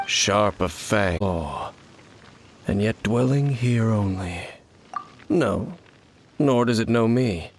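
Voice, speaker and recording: A man playing an enigmatic, world-weary wanderer in a video game, speaking in a measured, solemn and aloof way. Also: fairly low pitch, a little hiss or hum. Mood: solemn, reflective. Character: enigmatic, brooding, world-weary male wanderer of ancient knowledge.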